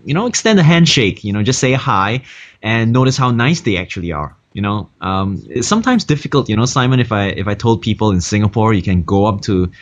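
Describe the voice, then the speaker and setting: A man's voice: fairly low pitch, sing-song, fairly quick, lo-fi sound. A man co-hosting a seminar promo over a video call, speaking hesitantly with many "uh"s and "um"s. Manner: hesitant, heavy on "uh" and "um". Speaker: seminar co-host.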